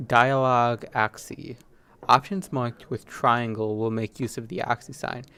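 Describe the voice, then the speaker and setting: A man's voice: mid-range voice, level tone, unhurried, bright, forceful tone, smooth. A man hosting a gaming video with casual let's play commentary.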